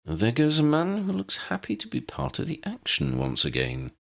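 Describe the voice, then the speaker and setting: Man acting a bookish uncle, gravelly, measured, ornate phrasing, dry wit, storybook character. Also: on the high side, wildly swooping pitch, fairly bright tone, exaggerated voice. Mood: wry. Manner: measured, wordy, wry.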